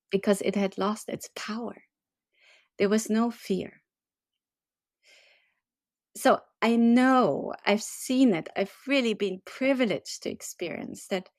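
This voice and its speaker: A woman from Germany, a resilience trainer, giving earnest, measured answers in a podcast interview. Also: medium pitch, no echo, studio quality. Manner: earnest, measured, gently emphatic. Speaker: resilience and leadership trainer, guest.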